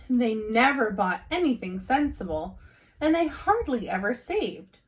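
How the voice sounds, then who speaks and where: Higher-voiced woman reading a storybook. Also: big pitch swings, takes it slowly. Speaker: teacher reading aloud. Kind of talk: children's storybook read-aloud.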